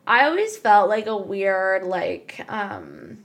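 A young woman with a raspy voice co-hosts a casual chat podcast. She mostly chimes in with quick agreements and easy asides. Her tone stays relaxed and conversational. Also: medium pitch. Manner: short agreeing reactions. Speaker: podcast co-host.

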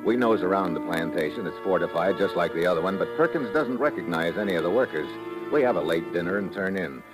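A man's voice: sits low, animated, fast, music in the background. A man playing a blunt, hard-boiled American special agent who narrates his own story in a 1950s radio drama. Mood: wry. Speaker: narrator and lead.

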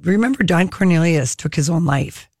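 A woman's voice: lowish voice, expressive, normal speed, a little mellow, dry recording, clean studio sound. An enthusiastic woman hosting a casual talk show, chatting about television.

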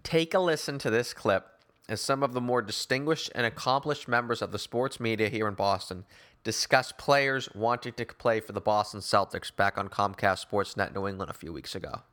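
A man hosting a sports talk show, giving opinion in an emphatic, wordy, deliberate way. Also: lowish voice, a bit bright. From Newton, Massachusetts, United States. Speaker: sports podcast host.

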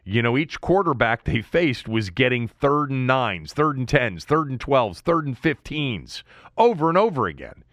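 Male sports radio host, lowish voice with big swings in pitch, close dry sound, emphatic conversational analyst. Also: very animated, moderate pace, a bit bright, no room sound. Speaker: sports talk show host.

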